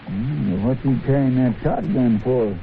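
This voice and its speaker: A man's voice: mid-range voice, a little slow, lightly acted. A loyal, folksy deputy acted by a man from a 1950s radio western. He talks in a hesitant rural Western American drawl, chatty and easily worried. The recording is tinny, with some vintage noise.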